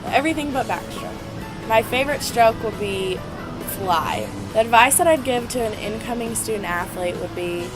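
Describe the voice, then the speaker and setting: A woman's voice: on the high side, lively intonation, moderate pace. Young American woman over prominent music. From Phoenix, Arizona, USA. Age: young adult. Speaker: student athlete, college swimmer. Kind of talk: interview answers in a profile feature. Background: music bed.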